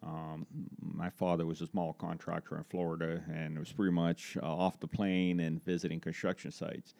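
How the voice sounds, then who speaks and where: A man's voice: low-pitched, little pitch movement, normal speed, gravelly, a little mellow, no echo. A man in his forties from Brazil with a Brazilian accent, a native Portuguese speaker, answering interview questions conversationally as a guest. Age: 40s. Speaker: interview guest, corporate safety executive.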